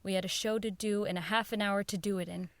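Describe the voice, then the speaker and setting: A woman playing an uneasy radio producer who recalls eerie events in measured, dramatic audio drama narration. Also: medium pitch, steady tone, no room sound, lightly acted. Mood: grave, reflective. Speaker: narrator and radio show director. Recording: violin music behind the voice.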